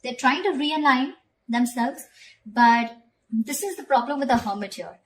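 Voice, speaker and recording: A woman's voice: high-pitched, lively intonation, measured pace, slight background noise, low-quality recording. A woman giving a conversational tarot reading straight to the viewer, often repeating phrases and checking in with short tag questions. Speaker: tarot reader.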